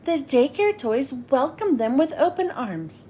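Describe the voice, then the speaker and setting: A woman narrating a children's storybook as a read-aloud host, with expressive, clearly phrased delivery. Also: high voice, unhurried, slight background noise.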